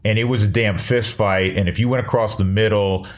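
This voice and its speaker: A man's voice: fairly low pitch, fairly even intonation, fairly quick. A man hosting a casual interview, blunt and opinionated, with some mild swearing. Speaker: podcast host.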